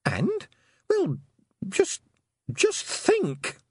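A man voicing a stubborn, sharp-witted young girl who speaks indignantly. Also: mid-range voice, huge pitch swings, moderate pace, husky, dry recording, studio quality, pitched above the performer's own voice, exaggerated voice. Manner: indignant, determined.

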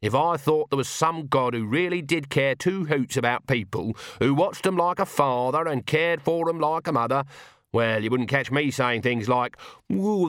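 A man's voice: mid-range voice, fairly bright tone, clean tone, no room sound, voice pushed up, close to an everyday voice. A man voicing a fierce, stubborn old country witch woman, blunt and folksy with an emphatic, scolding manner, in an audiobook.

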